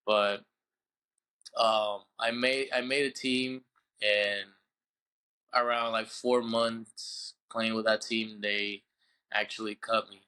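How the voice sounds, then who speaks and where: A young man from Puerto Rico, a baseball player being interviewed on a podcast, telling his story in a halting way and often repeating words as he searches for the English. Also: fairly low pitch, level tone, bright, forceful tone, clear, resonant tone. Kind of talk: casual interview.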